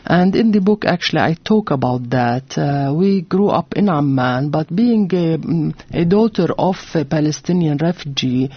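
A woman's voice: sits low, animated, moderate pace, few pauses, husky, no echo, muffled recording. A woman from Jordan, an author telling her family story in a radio interview, earnest and full of fillers and restarts. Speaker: author on a book tour. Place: radio studio.

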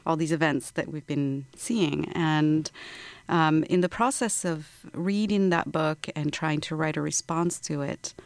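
A middle-aged woman author from Morocco, speaking thoughtfully in an interview and growing animated as she tells her story. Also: low-pitched, a little slow, dry recording. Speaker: author guest. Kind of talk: interview conversation.

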